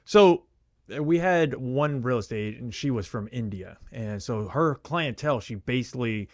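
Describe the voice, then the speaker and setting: A man hosting a casual interview who asks quick, rambling questions and pokes fun at himself. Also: medium pitch, animated, no room sound. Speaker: interview host.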